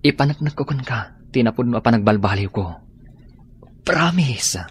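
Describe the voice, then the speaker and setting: A man's voice: medium pitch, lively intonation, moderate pace, a little hiss or hum, close to an everyday voice. An actor playing a remorseful young man in an Ilocano radio drama, pleading earnestly with a lover.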